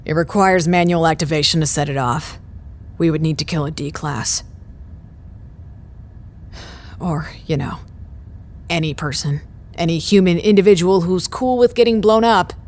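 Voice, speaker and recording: Actress as female site director, audio drama, low pitch, driven, weary, insistent, long reverb. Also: normal speed, darkish tone, close to an everyday voice. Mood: dry, defensive.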